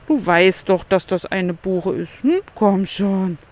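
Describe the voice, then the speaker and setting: A woman's voice: medium pitch, takes it slowly, darkish tone, close to an everyday voice. A woman voicing her own inner brain as a bored, nagging voice that dismisses things it already knows and coaxes her along. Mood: bored coaxing. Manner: coaxing, dismissive.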